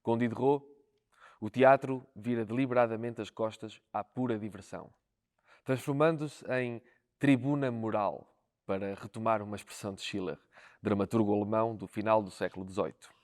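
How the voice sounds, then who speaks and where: A man's voice: lowish voice, steady tone, normal speed, a little mellow. A man with a European Portuguese accent reading a scripted educational narration.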